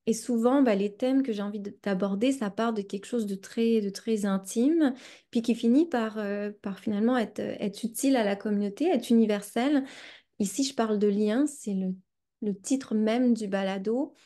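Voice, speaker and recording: Soft-voiced québécoise podcast host. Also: female voice, mid-range voice. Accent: Québécois French. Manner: slow, searching, many hesitations and repeated words. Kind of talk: reflective podcast interview.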